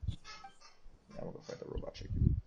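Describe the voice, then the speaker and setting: A man's voice: fast talker, warm tone. A young man streaming a game, chatting casually in a slangy, exclamatory way. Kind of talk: casual live gaming chat. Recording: dull, slightly noisy stream mic.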